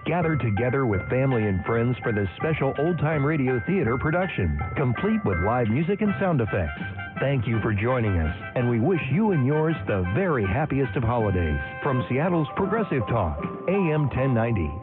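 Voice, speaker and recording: A man's voice: medium pitch, sing-song, moderate pace, hardly any pauses. A man reading a scripted radio station promo as announcer. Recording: phone-like sound under loud music.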